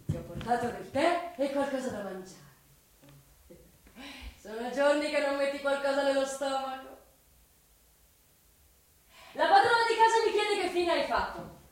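A high-pitched servant woman in a radio drama, blunt and nagging but caring. She talks brusquely and familiarly, far off in a very echoey, noisy space. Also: lively intonation, measured pace, pauses often. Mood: teasing concern. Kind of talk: theatrical radio drama acting.

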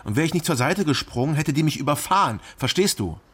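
An actor playing a dry, matter-of-fact male East Berlin private detective in a radio crime drama, with a gravelly voice. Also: on the high side, animated, quick pace, a bit bright. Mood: dry, wary. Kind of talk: radio crime drama, first-person narration and dialogue.

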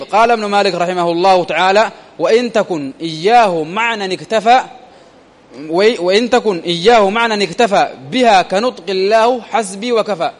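A man's voice: sits high, long reverb tail. A man teaching a grammar lesson, repeating phrases and quizzing his listeners with rhetorical questions.

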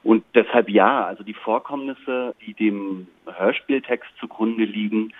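A man's voice: lowish voice, fairly even intonation, measured pace, no echo. A man giving reflective, articulate answers as an interview guest, choosing his phrasing with care. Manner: thoughtful, articulate, considered phrasing. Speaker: interview guest, playwright and director. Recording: tinny, narrow phone-like line.

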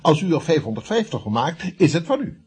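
A man's voice: fairly high pitch, very animated, fairly quick, darkish tone, breathy edge, full character voice. An actor playing an irritable, domineering male boss of an estate agency in a radio comedy, curt and blustering. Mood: businesslike politeness. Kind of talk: Dutch radio comedy drama acting.

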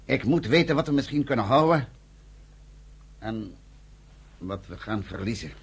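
An actor playing a weary, grave underground army commander in a radio drama, with a husky, gravelly voice. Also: male voice, mid-range voice, animated, unhurried. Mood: commanding.

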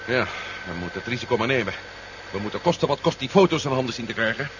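A man's voice: medium pitch, animated, fast, close to an everyday voice. An actor playing a bold, wry, decisive man of action in a 1970s science fiction radio play. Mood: grim, decided. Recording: muffled recording under loud vehicle noise.

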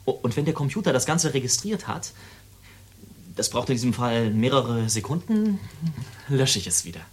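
An actor in a German radio play, playing a military radar operator who explains doomsday machinery in a casual, brooding, halting way. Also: male voice, mid-range voice, sing-song, breathy edge. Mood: quietly brooding.

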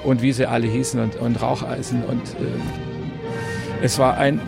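A man's voice: medium pitch, little pitch movement, a little slow, creaky. A man, a music journalist, telling his story in an interview in an enthusiastic, emphatic way. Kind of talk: anecdotal storytelling.

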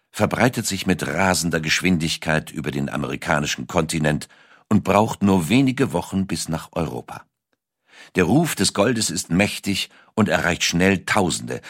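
Male narrator, very low register, close dry recording; measured, dramatic delivery for scripted historical storytelling. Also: breathy edge, no room sound.

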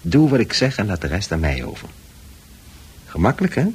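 Deep-voiced, soothing male psychiatrist. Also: huge pitch swings, a little mellow, husky, full character voice. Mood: calm, firm. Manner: measured, reassuring, hypnotically slow at times. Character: calm, authoritative male psychiatrist soothing a patient. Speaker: actor in a radio play. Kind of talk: Dutch radio drama acting. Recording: noisy old radio play recording.

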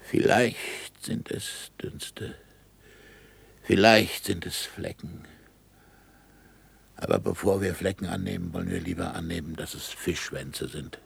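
An actor in a German radio play, playing a stubborn, sardonic aging astronomer with a gravelly, husky voice and deliberate, emphatic delivery. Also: male voice, low voice, lively intonation, full character voice. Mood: intense, deliberate. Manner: deliberate, emphatic speech-making.

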